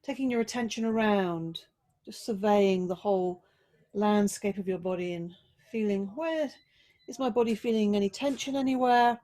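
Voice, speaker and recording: Woman yoga teacher doing guided relaxation, medium pitch, slow gentle instructions w lots of pauses. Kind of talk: guided relaxation and body check-in.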